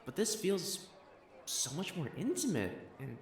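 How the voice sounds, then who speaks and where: An actor playing a proud, secretly smitten young noble matchmaker in a romantic audio drama, with haughty formal diction that turns to stammering when flustered. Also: male voice, fairly high pitch, big pitch swings, a little slow, slight background noise. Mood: quiet wonder. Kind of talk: acted romantic audio drama monologue to the listener.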